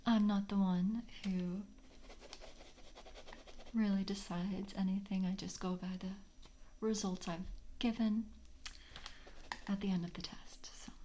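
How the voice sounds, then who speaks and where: A mellow, breathy female vampire, acted out by a woman in a soft ASMR roleplay. She is calm and jaded, screening with gentle, official politeness. Her delivery is slow and half-whispered. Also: mid-range voice, a little hiss or hum, close to an everyday voice. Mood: calm, world-weary.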